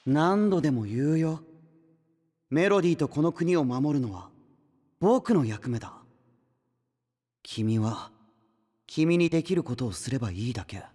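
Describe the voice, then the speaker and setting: An actor playing a stern, condescending older-brother knight in an anime-style voice drama. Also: male voice, a little high, animated, measured pace, pauses often, warm tone, big-room sound. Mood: cold, reproachful. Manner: condescending, firm, polished.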